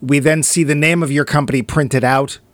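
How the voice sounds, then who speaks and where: A man's voice: on the high side, steady tone, normal speed, no room sound. A man co-hosting a casual film review chat, joking and sarcastic as he talks. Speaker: film podcast co-host.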